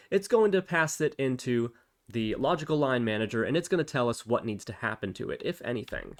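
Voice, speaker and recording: A man narrates a step-by-step software tutorial in a conversational style. His mid-pitched voice moves up and down in a lively way as he explains things. He often sounds like he is thinking aloud. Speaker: tutorial host. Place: indoors at a computer, voice-over for screen recording.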